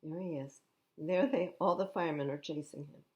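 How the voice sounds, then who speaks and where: A woman reading a picture book aloud to children at storytime, expressively, pausing to explain the pictures in simple words. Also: deep voice, darkish tone, lo-fi sound. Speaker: library storytime reader.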